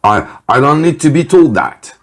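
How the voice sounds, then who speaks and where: A man's voice: mid-range voice, very animated, moderate pace, pitched above the performer's own voice. A man voicing a nervous, loyal male aide who stammers, in a storybook reading. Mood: nervous, proud.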